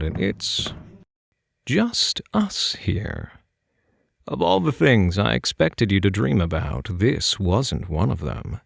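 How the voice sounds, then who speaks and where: Man acting deep, slow, suave phantom thief. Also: gravelly, no room sound, studio quality, full character voice. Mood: probing, coaxing. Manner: soft-spoken, coaxing, knowing, unhurried. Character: suave, teasing male phantom thief posing as prison guard. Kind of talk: ASMR roleplay, intimate scripted address to the listener.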